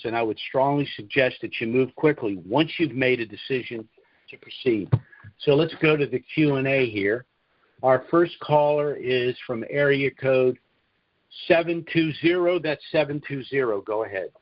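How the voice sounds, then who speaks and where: A man's voice: medium pitch, no room sound. Businesslike man hosting a call. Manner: businesslike, spells out contact details slowly. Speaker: host of a conference call. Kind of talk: conference call Q and A. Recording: dull lo-fi call audio.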